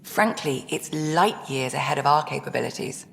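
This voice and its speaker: An actress playing a composed, frank crew member in a video game, speaking in a measured way. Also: female voice, sits low, animated, creaky, fairly bright tone, long reverb tail, soft background music, exaggerated voice, rough recording. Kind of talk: video game character acting.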